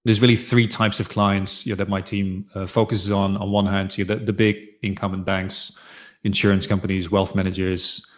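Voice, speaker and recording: Low, even-toned middle-aged man. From Netherlands. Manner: halting, peppered with "you know". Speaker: interview guest, fintech consultant. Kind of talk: conversational career account.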